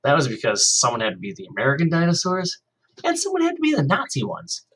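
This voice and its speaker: A man reads a children's novel aloud to his class in a husky voice, his pitch rising and falling expressively. He breaks off now and then to put questions to his students. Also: sing-song, fast, a bit bright. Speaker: teacher.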